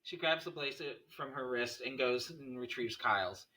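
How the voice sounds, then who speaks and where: A man's voice: mid-range voice, moderate pace, bright, forceful tone, poor audio. A man reading stage directions as narrator at a screenplay table read, in scripted narration.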